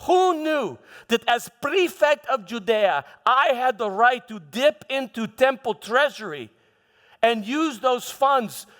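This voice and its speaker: A man playing a proud, vengeful Roman governor in a theatrical monologue, speaking emphatically with halting repetitions and dramatic pauses. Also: extremely high pitch, lively intonation, fairly bright tone, full character voice. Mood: smug, excited. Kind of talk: dramatic first-person monologue in church. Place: church hall, live performance. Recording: echoey hall with background music.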